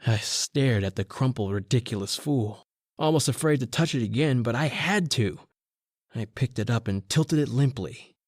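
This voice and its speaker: A man playing a haunted, brooding newspaper reporter who narrates his tale in old-time radio horror style, with dramatic pauses. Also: mid-range voice, expressive, no room sound, studio quality, natural voice.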